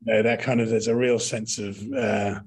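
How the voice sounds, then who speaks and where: Middle-aged British male interviewee, low husky voice, discursive, reminiscing delivery full of rephrasing. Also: little pitch movement, moderate pace. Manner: rambling, hedging, often rephrasing mid-sentence. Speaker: interview guest, touring actor and director. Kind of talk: conversational interview answers, reminiscing.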